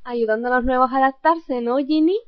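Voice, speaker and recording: A woman playing a cheeky, excitable young witch prefect in an amateur radio play. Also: high-pitched, a little fast, smooth, lightly acted. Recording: dull, noisy home-made recording.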